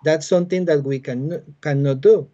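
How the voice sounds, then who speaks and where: A Costa Rican man in his forties speaks English with a Spanish accent, his voice pitched a little high but soft and warm. He explains things patiently, pausing on frequent "uh"s. The sound is muffled, like a laptop mic on a video call. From Costa Rica. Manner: patient, halting, many "uh" fillers. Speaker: biologist and university teacher. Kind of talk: explanatory interview answers. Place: home room with bookshelves. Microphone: laptop webcam on a video call.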